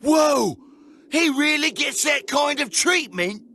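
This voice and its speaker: An actor playing an excitable, envious alien henchman in a game cutscene, flustered and incredulous, with a sharp, creaky voice. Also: very high-pitched, slow pace, a little hiss or hum, exaggerated voice. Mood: flustered envy. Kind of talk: animated game cutscene acting.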